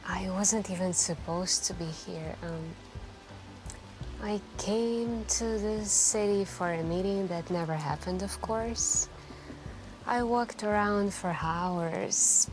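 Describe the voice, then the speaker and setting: A woman acting as a wistful, lonely woman speaks softly and slowly in an intimate ASMR roleplay. She is hesitant and confiding, drifting between thoughts with frequent pauses, over quiet background music. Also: medium pitch. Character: wistful, lonely woman chatting shyly with a stranger.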